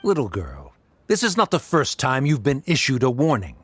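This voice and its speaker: An actor playing a cold, condescending academic official in a game who speaks formally and dismissively. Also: male voice, medium pitch, huge pitch swings, normal speed, fairly bright tone, slightly rough, soft background music, exaggerated voice. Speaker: official delivering an order.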